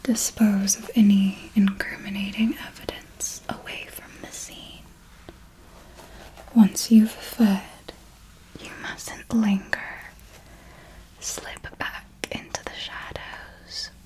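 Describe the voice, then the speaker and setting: Woman acting out female vampire mentor, hushed whisper, extremely unhurried, deliberate formal phrasing, coaxing, serenely cold, ASMR roleplay. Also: mid-range voice, little pitch movement, close to an everyday voice, poor audio. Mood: patient, instructive. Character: ancient female vampire mentor, serenely ruthless, darkly tender.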